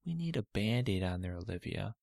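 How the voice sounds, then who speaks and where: A man's voice: fairly low pitch, sing-song, brisk, vocal fry, darkish tone, no room sound, clean studio sound. A man voicing a patient father, soothing and reassuring in tone. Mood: coaxing.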